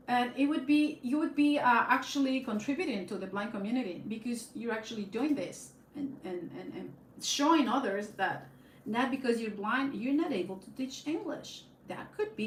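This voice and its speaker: Casual livestreamer, woman, Spanish accent, rambling chat, phone on tripod in an echoey room with faint hiss. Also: medium pitch. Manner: rambling, chatty, frequent fillers and restarts, laughs often. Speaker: YouTube live streamer. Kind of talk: casual live-stream chat.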